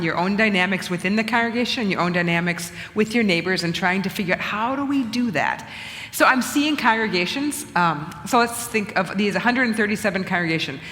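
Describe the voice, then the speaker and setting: A middle-aged woman bishop, speaking in an earnest, measured way and thinking aloud in a conversational church talk. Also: medium pitch, a bit bright. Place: church sanctuary. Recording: long reverb of a church hall.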